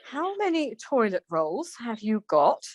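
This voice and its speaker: Woman acting brisk, chiding wife, lively high-pitched voice. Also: slight background noise. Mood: incredulous. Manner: chiding, questioning. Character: brisk, plain-spoken wife, chatty and incredulous. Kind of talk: radio play acting.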